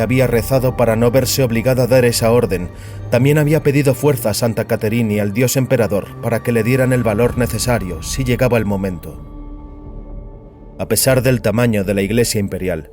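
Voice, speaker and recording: A man narrating a scripted dramatic story in a solemn, deliberate manner. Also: on the low side, darkish tone. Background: music.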